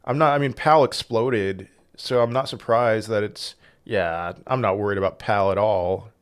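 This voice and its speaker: A man's voice: on the low side, moderate pace, clean tone. A man hosting a casual crypto livestream, reading chat questions aloud and answering them in a rambling way with frequent restarts. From Portugal. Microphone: desk microphone.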